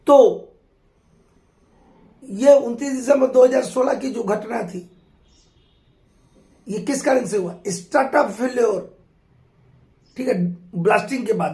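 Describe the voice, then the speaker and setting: A hoarse man, a mining exam tutor talking through old test questions in a conversational way and repeating key points. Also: very high-pitched, warm tone, reverberant. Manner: conversational teacher, addresses students fondly, repeats key points. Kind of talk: explaining exam questions in an online class.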